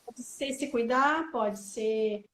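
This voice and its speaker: A woman's voice: a little high, slow pace, roomy sound, low-quality recording. A woman from Brazil, a coach chatting casually on a live stream and filling her talk with "né" and "assim". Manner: warm reflective, many fillers like "né" and "assim". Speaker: guest coach.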